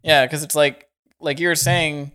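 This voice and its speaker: Man, smooth resonant voice, easygoing casual chat. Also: on the high side, flat delivery, moderate pace, fairly bright tone.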